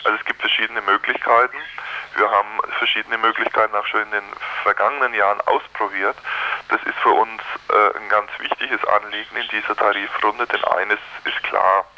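A man being interviewed over the telephone, explaining his points deliberately with hesitant pauses. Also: fairly low pitch, flat delivery, bright, forceful tone. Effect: telephone line. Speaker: interview guest. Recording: dull noisy telephone line.

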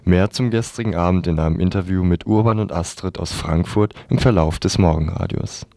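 A man's voice: low-pitched, fairly quick, vocal fry, dark tone. A man presenting radio news, reading from a script.

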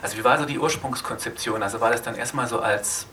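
Bright-voiced man moderating, off-mic. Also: medium pitch, fast. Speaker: moderator of film Q&A. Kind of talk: spontaneous interview questions. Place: film festival screening venue. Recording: distant, noisy live event recording.

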